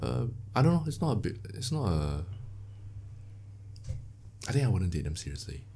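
A man's voice: sits low, big pitch swings, normal speed, vocal fry, warm tone, husky. A man in his thirties with a Singaporean accent, chatting casually in a colloquial way and swearing freely. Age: 30s. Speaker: podcast guest. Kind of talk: casual chat.

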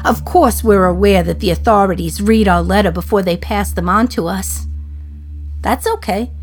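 An actress plays a condemned mother speaking from prison in a radio drama. Her medium-pitched female voice confides with dry gallows humour, wistful yet defiant. Music runs behind her monologue. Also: normal speed, husky, natural voice. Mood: wry, sardonic.

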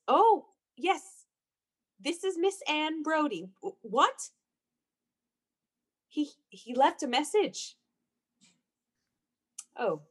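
A woman acting very high-voiced lovesick young woman. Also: exaggerated voice. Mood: anxious, hesitant. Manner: hesitant, repeating words, fervent. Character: Anne Brodie, lovesick, anxious, clinging young woman. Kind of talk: classic radio suspense play acting.

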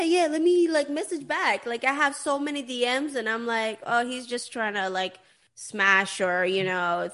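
A woman's voice: mid-range voice, a bit bright. A young woman from Sweden hosting an interview show in a chatty, casual way, full of "like" and "you know". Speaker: podcast host.

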